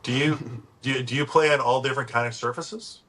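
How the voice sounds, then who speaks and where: A man's voice: mid-range voice, steady tone, a little fast, fairly bright tone. A man hosting a podcast, asking casual interview questions and often repeating words as he goes. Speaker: podcast host.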